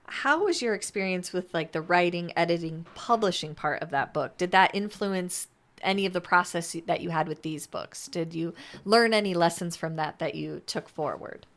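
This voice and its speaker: Supportive woman interviewer, lowish voice. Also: moderate pace, a bit bright. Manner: supportive, reflective. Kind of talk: conversational interview.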